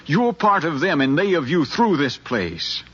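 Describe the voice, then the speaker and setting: An actor playing an earnest, insistent middle-aged son pleading with his mother, in a deliberate, impassioned American radio drama style from the 1950s. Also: fairly high pitch, big pitch swings, fairly bright tone, slight background noise. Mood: earnest, tender. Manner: impassioned, deliberate, speechlike with repeated phrases. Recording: dull 1950s radio recording, music.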